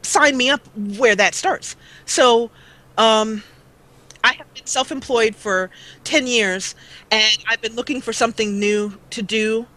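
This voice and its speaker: A chatty woman in her forties talks about her gaming channel as an interview guest. Her voice is bright and clear. She rambles, restarts, and fills gaps with ums, and her mic is old and taped up. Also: fairly high pitch, a little slow, poor audio. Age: 40s. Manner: rambling, chatty, with many fillers. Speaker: guest let's player. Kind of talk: casual interview chat. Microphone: old duct-taped mic that cuts out.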